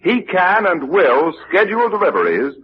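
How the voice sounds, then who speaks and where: A man's voice: high voice, sing-song, normal speed. An American man announcing in a formal, emphatic 1940s radio style from a script. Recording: dull, hissy 1940s radio recording.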